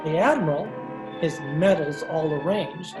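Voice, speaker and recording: A woman's voice: low-pitched, unhurried. A woman acting as a wry male hustler in an old-time style radio drama, sardonic, recorded with echo over music. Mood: sardonic narrating. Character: wry, wisecracking male hustler telling his own misadventures. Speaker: lead actor and narrator. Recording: echoey video-call recording.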